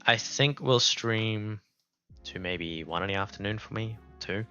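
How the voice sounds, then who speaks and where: Brief: South African streamer, Afrikaans first language, lowish bright voice, rambling casual chat, dull lo-fi audio. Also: male voice, measured pace, clean tone. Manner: rambling, thinking aloud, unscripted. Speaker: game streamer. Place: indoors at a laptop. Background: music playing.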